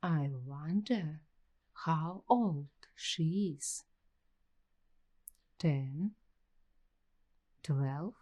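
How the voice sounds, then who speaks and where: An uneasy young waitress, acted by a woman in a slow ASMR roleplay. Her voice is low and mellow, and she talks extremely slowly, in hushed fragments with long pauses, as if confiding her suspicions to the listener. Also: full character voice. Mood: suspicious, musing. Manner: hushed, halting delivery in short broken phrases with long pauses.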